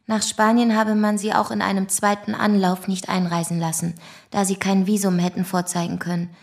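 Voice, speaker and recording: A woman's voice: medium pitch, little pitch movement, moderate pace, creaky, close to an everyday voice. An actress playing a sober young woman who gives formal testimony in a radio drama.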